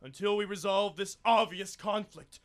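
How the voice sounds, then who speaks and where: A man's voice: sits high, moderate pace, close to an everyday voice. A young man playing an indignant betrayed husband and factory owner, overwrought in a campy radio soap style. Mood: wounded, indignant. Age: young adult. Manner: overwrought, dramatic. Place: small radio studio.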